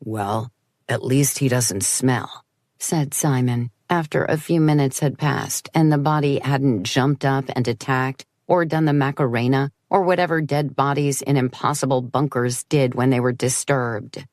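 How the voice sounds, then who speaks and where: A woman's voice: a bass voice, steady tone, normal speed, hardly any pauses, a little mellow, no echo. A woman reading first-person horror fiction as an audiobook narrator, in a wry, conversational storytelling way.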